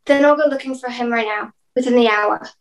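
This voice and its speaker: A woman's voice: fairly high pitch, a little fast, lightly acted. A young woman playing a resolute, commanding ship's captain in an amateur radio play, speaking firmly. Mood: grave.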